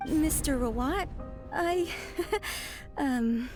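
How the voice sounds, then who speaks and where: An actress playing a shy, smitten young woman in a video game, bashful and halting. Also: high-pitched, darkish tone, soft background music.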